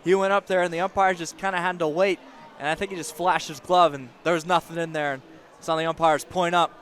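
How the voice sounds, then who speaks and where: A male color commentator with a voice a little higher than average analyzes a live baseball game. He talks conversationally, explains his reasoning and offers firm opinions on the play. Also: fairly even intonation, fairly quick, fairly bright tone. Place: outdoor baseball stadium broadcast position.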